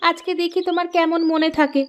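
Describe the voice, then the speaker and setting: A very high, thin-pitched village wife played by a woman in a cartoon. She chides and nags her husband expressively, yet she clearly cares. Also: level tone, quick pace, a little hiss or hum. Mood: nagging. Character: nagging but caring village housewife. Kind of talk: cartoon voice acting.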